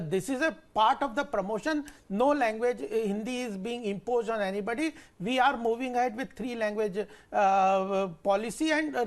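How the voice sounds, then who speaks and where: Halting, insistent man. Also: very high voice. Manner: halting, many uhs, insistent. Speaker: ruling party spokesperson. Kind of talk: TV debate argument.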